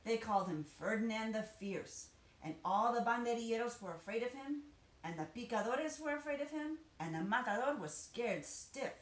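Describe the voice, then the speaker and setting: Lively woman storyteller in an echoey room. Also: a little high. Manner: animated, deliberate storytelling with dramatic emphasis. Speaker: storybook reader, teacher. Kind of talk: expressive read-aloud of a children's picture book. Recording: roomy echo, faint background noise.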